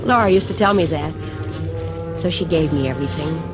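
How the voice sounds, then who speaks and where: An actress playing a vain, needy thirty-eight-year-old murder suspect coming apart, in an old 1950s radio drama. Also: female voice, low voice, fairly quick, loud music behind the voice, lightly acted. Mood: coy, wistful. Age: middle-aged. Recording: vintage 1950s radio recording.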